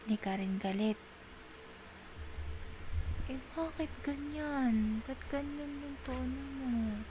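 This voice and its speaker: A woman with a Filipino accent playing a clingy, coaxing girlfriend in an intimate ASMR roleplay, pleading in soft baby talk. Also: high voice, slow pace, noisy background. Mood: puzzled, pleading. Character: clingy, coaxing girlfriend soothing a jealous partner.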